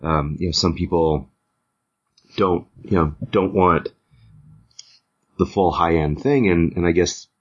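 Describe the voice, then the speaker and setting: A deep-voiced man works as an interviewer in an informal conversation. His phrasing is hesitant and roundabout. The recording sounds dull and somewhat lo-fi. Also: takes it slowly, pauses often, husky. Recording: dull, lo-fi audio.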